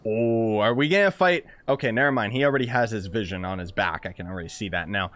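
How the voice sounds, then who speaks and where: A man's voice: on the low side, fast talker, fairly bright tone. Young man doing joking game commentary. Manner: joking, reactive exclamations. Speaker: gaming streamer. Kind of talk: casual gameplay commentary. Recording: game music behind the commentary.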